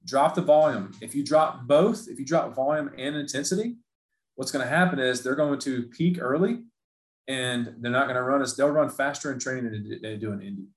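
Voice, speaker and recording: A man's voice: medium pitch, normal speed. Earnest male coach in an echoey room. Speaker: performance coach. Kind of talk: panel discussion. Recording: roomy echo.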